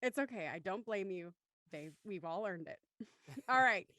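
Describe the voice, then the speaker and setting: A woman's voice: medium pitch, expressive, a little slow, bright, forceful tone, dry recording, clean studio sound. A woman hosting a casual panel chat, introducing and steering the talk. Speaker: host.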